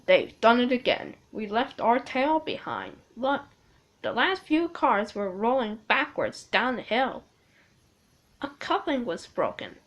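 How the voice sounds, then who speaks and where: A woman voicing a practical, watchful male engine driver with a brisk, warning manner. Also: a little high, sing-song. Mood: dismayed.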